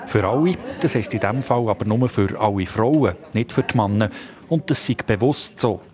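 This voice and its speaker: A man's voice: fairly low pitch, lively intonation, normal speed, a crowd in the background. A man with a Swiss German accent narrating a scripted radio report as a reporter.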